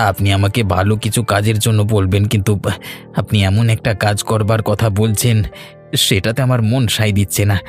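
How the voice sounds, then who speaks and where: A man's voice: lowish voice, natural voice. A man with a West Bengal accent voicing an honest, humble village man in a cartoon, with a deferential and hesitant manner. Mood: reluctant, earnest. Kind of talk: cartoon voice acting.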